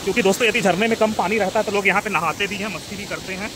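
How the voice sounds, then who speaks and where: A man's voice: sits high, steady tone. Man vlogging beside a roaring waterfall. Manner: addresses viewers as friends, earnest and concerned. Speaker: travel vlogger. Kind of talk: walking travel vlog narration. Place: outdoors at a waterfall and river. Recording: outdoor phone audio with loud waterfall noise. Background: rushing water.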